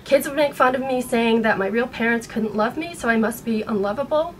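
An American woman in her thirties speaking in an earnest, halting way, upset and shaken, as in a personal vlog. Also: a little high. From United States. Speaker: vlogger. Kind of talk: personal video blog, partly reading aloud. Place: small bedroom at home. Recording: noticeable background room noise.

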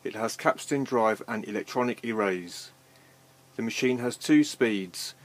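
Man, mid-pitched voice, measured deliberate delivery, scripted test recording, equipment demonstrator.

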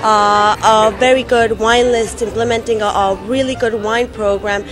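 Fairly high-voiced woman over music. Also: fairly even intonation, normal speed. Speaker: restaurant representative. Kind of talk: promotional interview talk.

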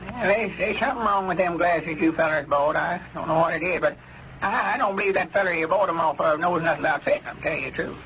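A dull, noisy vintage radio comedy recording. a high-voiced old country grandpappy from the rural South. He is plain-spoken and doubtful, repeating himself in a halting, folksy way. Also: pushed and bright. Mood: skeptical.

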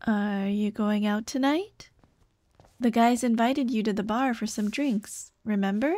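Woman acting slow-talking, caring ghost girlfriend. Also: on the high side, dry recording, natural voice. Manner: tender, coaxing, soft-hearted scolding. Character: caring, gently nagging dead girlfriend's ghost. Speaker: roleplay voice actress. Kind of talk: ASMR roleplay drama.

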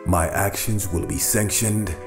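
An actor playing a sinister older back-alley surgeon in a cyberpunk radio drama, speaking formally and deliberately with courtly menace. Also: male voice, on the low side, fairly even intonation. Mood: ominous, resolute. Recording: roomy echo with music behind.

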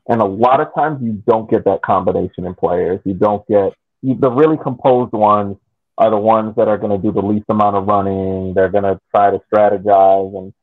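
A man giving casual sports analysis in measured, halting phrases over a video call. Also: fairly low pitch, no room sound. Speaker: sports podcast panelist. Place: indoor room with wooden headboard. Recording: thin phone-like call audio.

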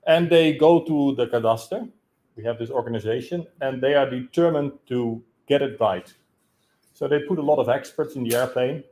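A man in his thirties standing in a conference room, asking a long spontaneous question in a loud voice with many hesitations, recorded by a room camera. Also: mid-range voice, moderate pace. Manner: loud, hesitant, gesturing as he talks. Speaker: audience member asking a question. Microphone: room camera, no handheld mic.

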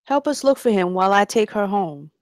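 A woman's voice: mid-range voice, animated, fairly quick, natural voice. A woman playing a tough young female gang leader with commanding, slangy street talk in a drama script reading. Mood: bossy. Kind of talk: table read of a TV drama script.

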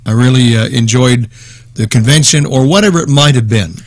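A man's voice: medium pitch, a little fast, no echo. American man reminiscing, dry close sound. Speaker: radio show host giving a tribute. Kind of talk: conversational reminiscence.

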